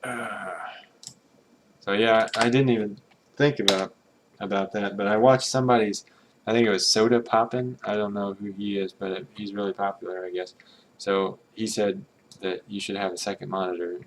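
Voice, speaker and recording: Male streamer, lowish voice, tentative musing commentary, faint hiss and typing in the background. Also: steady tone, moderate pace. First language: English. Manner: musing, tentative, mutters Japanese readings to himself. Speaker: streamer translating a game. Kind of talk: casual thinking-aloud commentary.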